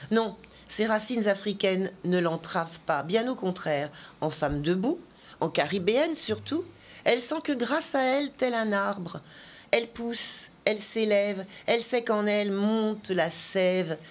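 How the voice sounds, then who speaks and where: A woman poet and teacher giving an interview, telling stories with many asides and then reading her poetry slowly and deliberately. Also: medium pitch, lively intonation. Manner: digressive, chatty storytelling with asides; slow, deliberate poetry recitation.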